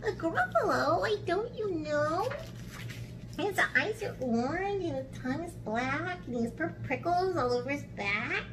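A woman voicing a tiny, clever, bluffing male mouse in a children's storybook, squeaky and sing-song. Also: animated, moderate pace, background noise. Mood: polite, sly. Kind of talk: children's storybook read-aloud.

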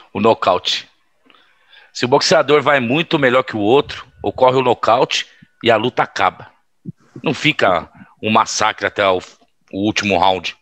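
A man's voice: fairly low pitch, unhurried, long pauses, a bit bright. A man acting in an audio drama as an amused campaign colleague, talking in a casual, ironic, chuckling way.

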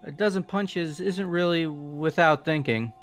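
A man playing an excitable, cowardly young slacker in a video game, whiny and defensive. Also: fairly high pitch, measured pace, natural voice. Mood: self-pitying. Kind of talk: video game character voice-over. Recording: music bed behind voice.

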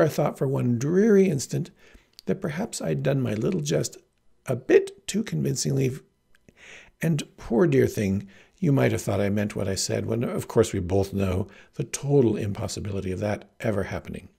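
A man voicing a haughty, lovesick teenage farm girl with affected, gushing, theatrical airs and a husky voice in a storybook reading. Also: mid-range voice, warm tone, full character voice.

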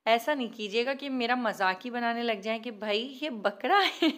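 A woman's voice: fairly high pitch, unhurried, a bit bright. A woman hosting a home baking tutorial in voice-over, chatty, self-mocking and pleading, laughing at her own work. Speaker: cooking channel host.

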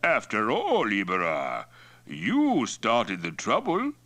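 A man's voice: on the low side, wildly swooping pitch, slow pace, a bit bright, voice pushed up, exaggerated voice. A man voicing a hearty, boastful Mongol chieftain in an audiobook, blustering and jovial with an edge of menace. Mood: genial menace. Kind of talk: acted dialogue in audiobook narration.

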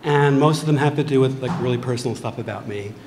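A man gives a plain, dry introduction as a panelist. His table mic picks up long hall reverb and clear room ambience. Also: medium pitch, quick pace, background noise. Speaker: panelist, filmmaker, teacher. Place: gallery stage before a large audience.

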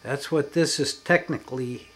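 A man giving an unscripted demonstration as a hobbyist, in halting, broken phrases with emphatic stresses. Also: mid-range voice, fairly bright tone, breathy edge. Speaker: hobbyist demonstrating his electronics experiments.

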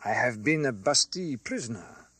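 A proud old doctor played by a male reader in a classic tale. He speaks coolly and confidently in a measured, husky voice with wide swings of pitch. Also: expressive, a bit bright, dry recording. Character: cool, bold old doctor, proud former prisoner.

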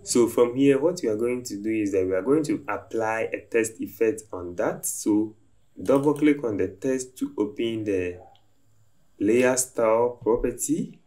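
Slow, low-voiced man teaching design software. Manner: slow, step-by-step instructive talk. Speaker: design tutorial host. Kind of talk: screen-recorded software tutorial narration.

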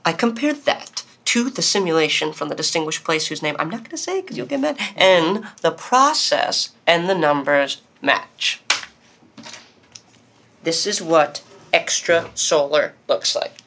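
An actor playing a young nonbinary hacker in an audio drama, irreverent and evasive, talking in casual, halting slang. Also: medium pitch, expressive, vocal fry, sharp tone, exaggerated voice. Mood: explaining, wry. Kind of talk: naturalistic audio drama acting.

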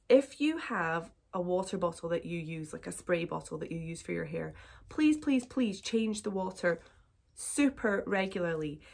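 A British woman in her thirties with a slightly croaky, blocked voice from a cold, chatting to viewers in a casual hair tutorial vlog from her bedroom. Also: medium pitch. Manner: chatty, upbeat, talks to viewers. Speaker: curly hair YouTuber. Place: bedroom, indoors, small room.

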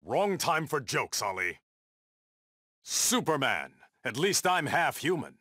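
A man's voice: mid-range voice, very animated, slow, pushed and bright, dry recording, studio quality, exaggerated voice. An actor playing a proud, stern undersea warrior king in a video game, with a regal, defiant, declamatory delivery. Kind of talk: video game battle dialogue.

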